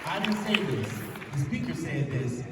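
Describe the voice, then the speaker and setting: A man's voice: on the high side, lively intonation, moderate pace. A man introducing the next speaker as emcee, hyping up the audience. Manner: hyping up the crowd, shouting. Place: large conference hall. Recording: echoey hall from far off, loud crowd and noise.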